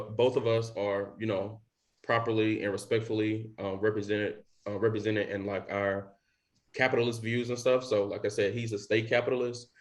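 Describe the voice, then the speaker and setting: A young man hosting a podcast in casual chat, rambling with lots of fillers. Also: on the low side. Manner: rambling with many likes and you knows. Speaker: podcast host.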